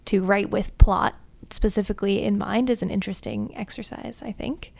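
Creaky-voiced woman co-host. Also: medium pitch, fairly even intonation, normal speed, darkish tone. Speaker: podcast co-host. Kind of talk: casual literary chat.

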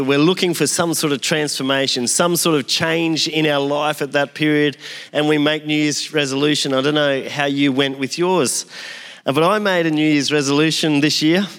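A man's voice: fairly high pitch, a bit bright. A man in his forties giving a sermon as pastor, opening with prayer and telling self-deprecating stories in a conversational way. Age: 40s. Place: church hall. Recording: long hall reverb.

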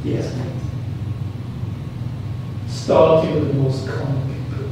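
A man preaches in a high-pitched voice with a Birmingham accent. He drives points home with repeated phrases and questions thrown out to the congregation. The sound is heavily echoing and picked up from a distance. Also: flat delivery, slow. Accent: Birmingham English. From Birmingham, England. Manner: emphatic, repeats phrases, asks rhetorical questions. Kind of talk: sermon to a congregation. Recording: very echoey room, distant mic, clear noise.

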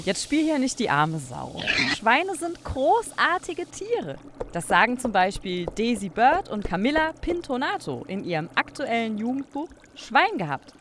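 A woman's voice: a little high, slight background noise. A woman co-hosting a children's science podcast, playful and explanatory in a scripted audio play. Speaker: podcast co-host.

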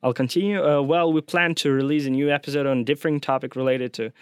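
A man's voice: mid-range voice, fairly even intonation, fast talker, clean tone, no room sound. Young Russian-accented man, podcast co-host, hesitant and dryly teasing, mid-range voice, dry recording. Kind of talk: casual podcast chat.

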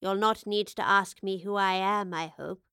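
A woman reading as a serene old professor at the end of a life of adventure. She speaks slowly and gently, with a soft, knowing lilt. The sound is close and dry, with no room around it. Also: mid-range voice, fairly even intonation, smooth, no room sound, lightly acted. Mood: wistful. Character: elderly woman professor, calm and wistful.

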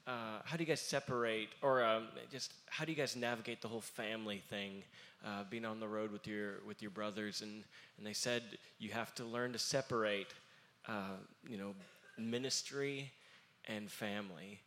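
A young man with a somewhat low voice talks earnestly on a panel. He pauses often and fills gaps with ums. His talk is casual but heartfelt. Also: fairly even intonation, a bit bright. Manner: earnest, halting with many fillers. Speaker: musician in family band.

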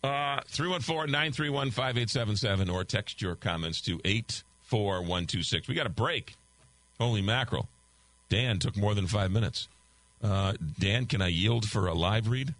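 A man's voice: on the low side, moderate pace, a bit bright, poor audio. An older man hosting a call-in talk radio show, loosely reading listener texts and ad copy while losing his voice. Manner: loose, conversational, reads listener texts aloud. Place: radio studio. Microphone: studio microphone.

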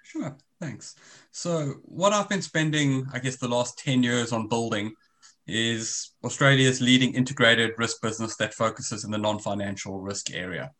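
A South African man in his forties talks on a remote call, his voice pitched in the ordinary middle range for a man. He explains in long, winding runs and often restarts his sentences. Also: normal speed, a bit bright. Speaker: guest, risk business founder. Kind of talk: conversational interview.

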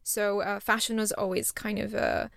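A woman in her thirties who grew up in Singapore talks in a relaxed, chatty way. She pokes fun at herself. Her voice has a gravelly, creaky texture, and the recording is close and dry. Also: mid-range voice, moderate pace, no room sound. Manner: chatty and self-deprecating, often laughs at herself. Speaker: startup founder, guest. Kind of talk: casual interview chat.

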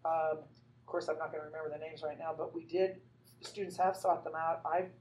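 Woman artist, low voice, rambling conversational delivery, faint noise behind. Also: flat delivery, brisk, darkish tone, poor audio. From Northern California. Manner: rambling, many fillers and restarts.

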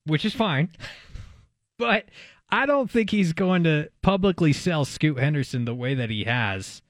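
A man's voice: a little high, animated, measured pace, no room sound, clean studio sound. A man co-hosting a conversational sports talk show, thinking aloud somewhat hesitantly as he reasons. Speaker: sports radio co-host.

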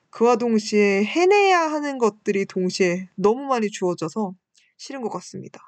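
A woman's voice: mid-range voice, a little mellow, no echo. A woman in her twenties hosting a show, talking reflectively and politely in a candid, self-deprecating way as she shares personal thoughts. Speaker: radio-style show host and university student. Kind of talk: personal reflective talk, reading listener messages.

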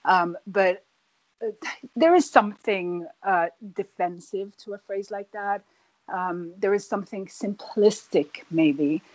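A woman who grew up in Nigeria, an author answering interview questions thoughtfully, with many pauses and restarts. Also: medium pitch, darkish tone, no room sound, lo-fi sound. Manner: hesitant, many fillers and restarts. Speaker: author, guest.

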